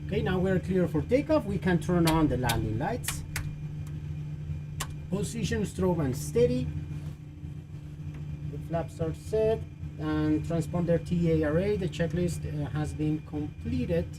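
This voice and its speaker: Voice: medium pitch, animated, measured pace, long pauses, background noise. A flight simulator pilot talking through procedures step by step, with short callouts and radio phraseology, as a live demonstration.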